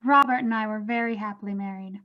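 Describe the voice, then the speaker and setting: A woman playing a doting, chatty middle-aged mother's ghost in an animated comedy table read. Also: mid-range voice, fast talker, close to an everyday voice. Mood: puzzled recalling. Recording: dull lo-fi microphone.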